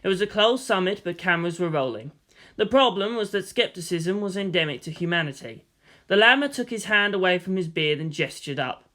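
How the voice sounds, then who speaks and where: A woman reading fan fiction aloud as narrator, steady and expressive. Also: fairly low pitch, brisk.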